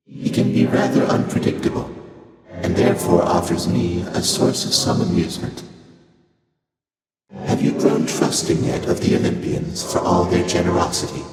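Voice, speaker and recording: An actor playing an ancient, aloof primordial deity in a video game, speaking calmly and cryptically in formal, measured, archaic phrasing, with faint amusement and layered otherworldly processing. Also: male voice, deep voice. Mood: detached, amused. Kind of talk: video game character dialogue. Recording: cavernous long reverb, loud music behind.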